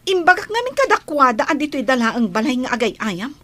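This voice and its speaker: A woman's voice: high voice, sing-song, a little fast, exaggerated voice. A woman with a Filipino accent playing a lazy, vain, bossy wife in a radio drama, whiny and commanding. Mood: irritated, bossy. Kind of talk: Ilocano radio drama acting. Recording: loud music behind.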